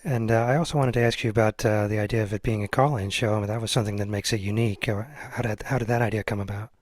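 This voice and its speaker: Male interviewer, mid-range pitch, brisk delivery, informal conversational style, dry close sound. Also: steady tone, no room sound.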